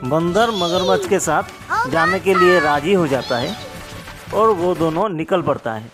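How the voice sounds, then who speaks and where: A man's voice: on the high side, expressive, measured pace. A man narrating a scripted children's story as its storyteller. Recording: music clearly behind the voice. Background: background music.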